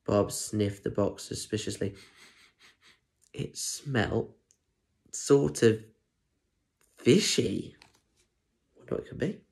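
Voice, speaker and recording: A man's voice: fairly low pitch, takes it slowly, gravelly, pauses often, a little mellow. A young man with a British accent reading a children's picture book expressively, chatting directly to listeners with asides. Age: young adult. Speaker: primary school story reader. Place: small indoor room at home. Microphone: phone or tablet at arm's length.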